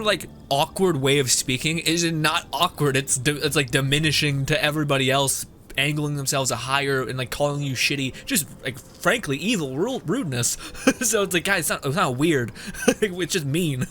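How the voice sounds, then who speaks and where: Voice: mid-range voice, normal speed, fairly bright tone. Casual, rambling streamer over game music. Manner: rambling, chuckling, casually profane. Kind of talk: casual gameplay commentary.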